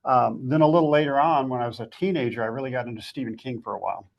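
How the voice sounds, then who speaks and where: A man with a gravelly, husky voice giving conversational advice as a guest author, in a plain-spoken way with short, emphatic summings-up. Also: medium pitch, very fast, muffled recording.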